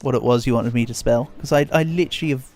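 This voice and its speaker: A man's voice: medium pitch, fast talker, a little mellow, faint music behind. Chatty congested man streaming a game. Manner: chatty, rambling, self-deprecating. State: congested, feeling a cold coming. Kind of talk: casual game livestream chat.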